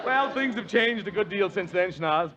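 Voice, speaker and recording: A man's voice: high-pitched, lively intonation, moderate pace, heavy background noise. A man working as announcer and straight man on a 1940s radio comedy show, with a polished, emphatic delivery. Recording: dull lo-fi old radio recording.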